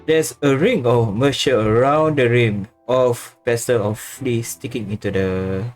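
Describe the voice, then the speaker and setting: A man streaming a game, casually reacting and reading along in a halting way with short interjections. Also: mid-range voice. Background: faint game music.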